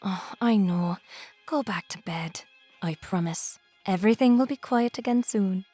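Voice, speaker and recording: A woman's voice: mid-range voice, measured pace, dark tone, faint music behind, natural voice. A woman playing a cruel, gloating immortal enchantress in an audio drama, taunting and theatrical, savoring her words. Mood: soothing, falsely sweet. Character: gloating, cruel immortal enchantress who transforms people into swans.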